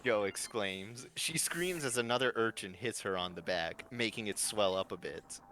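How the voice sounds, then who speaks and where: Low-voiced man narrating a story. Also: normal speed, pushed and bright, slight background noise. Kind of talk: live scripted fan-story narration.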